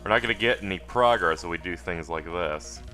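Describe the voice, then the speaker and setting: Low-voiced man doing game commentary. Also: fairly quick, sharp tone, faint music behind, rough recording. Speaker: let's play host. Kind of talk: casual video game commentary.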